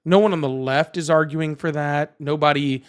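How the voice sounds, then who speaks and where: Guy hosting a live call-in, kinda high voice, argues fast and keeps going "right? okay?". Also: steady tone. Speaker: host of live political call-in stream. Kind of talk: casual live debate.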